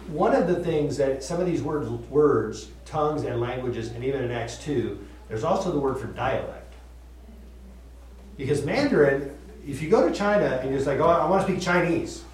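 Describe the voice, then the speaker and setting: Male teacher, conversational Bible study, lively and slightly high-pitched, distant mic in a roomy hall. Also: a little hiss or hum. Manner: chatty, laughs often, repeats and restarts. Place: room with group listening. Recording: echoey room, voice from far off.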